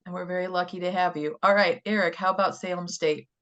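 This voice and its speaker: A woman's voice: lowish voice, steady tone, quick pace, a bit bright, lo-fi sound. A woman hosting a college sports interview, asking questions in an upbeat, friendly way. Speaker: interviewer host.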